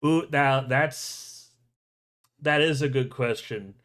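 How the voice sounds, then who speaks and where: A man's voice: medium pitch, slow, fairly bright tone. A man hosting a livestream with casual movie news chat, joking and swearing freely. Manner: jokey, profane. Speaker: show host.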